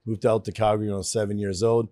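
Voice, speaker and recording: A Canadian man in his fifties, a company founder telling his story as a guest in a casual interview, animated and quick to laugh. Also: lowish voice, no echo, clean studio sound.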